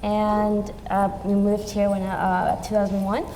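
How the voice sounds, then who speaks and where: A woman's voice: mid-range voice, flat delivery, a little slow, mellow. A young woman from Peru, a student panelist, answering hesitantly with many fillers on stage. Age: young adult. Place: event stage in a large hall. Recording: reverberant hall with faint noise.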